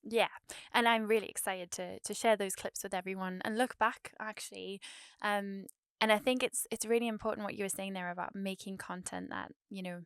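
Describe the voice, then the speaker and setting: Smooth-voiced woman podcast host. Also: mid-range voice, steady tone, a little fast, dry recording. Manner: warm, filler-heavy, chatty. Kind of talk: casual podcast chat with scripted intro. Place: podcast studio. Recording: dry close studio mic.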